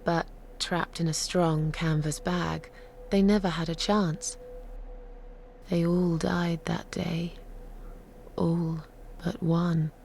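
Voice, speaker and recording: An actress plays an eerie, sardonic woman telling a grim legend. Her voice is lowish and full of creak, moving slowly and deliberately, often sinking toward a whisper in dramatic horror style. There is faint noise behind her. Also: flat delivery, a little mellow. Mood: hushed, mournful.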